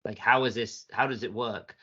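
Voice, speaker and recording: A man's voice: mid-range voice, fairly even intonation, normal speed, sharp tone, breathy edge, highs cut off. A man sharing his view conversationally as a panelist in a discussion.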